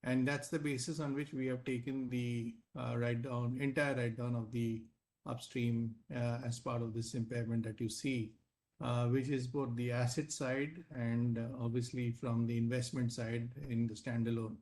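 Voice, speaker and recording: Measured male executive on an earnings call. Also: mid-range voice, level tone, a little mellow. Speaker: company finance executive. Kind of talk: answering analyst questions on an earnings call.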